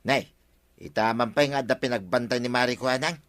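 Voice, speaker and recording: An actor playing a scheming, wheedling village husband in an Ilocano radio comedy, argumentative and animated. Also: male voice, mid-range voice, a little fast, lightly acted. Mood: argumentative, playful.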